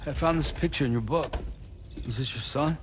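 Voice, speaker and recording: A man's voice: medium pitch, moderate pace, background noise, natural voice. Man as terse, plain-spoken game hero. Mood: curious. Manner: terse, casual questioning. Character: plain-spoken, inquisitive male rescuer. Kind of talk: video game cutscene acting.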